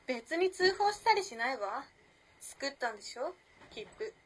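A woman's voice: sits high, big pitch swings, normal speed, slight background noise. A woman playing a young modern lady in a radio drama, cool and teasing, with old-fashioned feminine politeness. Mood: cool, curious. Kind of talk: Japanese radio drama acting.

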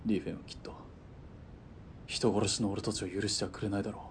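A man's voice: level tone, fast, close to an everyday voice. A man playing a cool, commanding military spotter in a radio drama, clipped and composed. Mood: grave, determined. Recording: faint rumbling background noise.

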